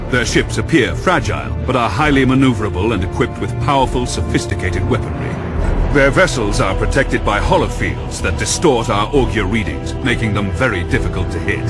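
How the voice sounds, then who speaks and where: An actor playing a stern, authoritative naval lord admiral in a video game, briefing in a formal military manner. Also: male voice, lowish voice, natural voice.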